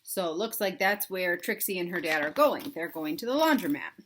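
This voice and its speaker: A woman reading a picture book aloud to young children, pausing to explain things simply and ask them questions. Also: fairly low pitch, expressive, a bit bright, slight background noise. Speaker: read-aloud storyteller for children.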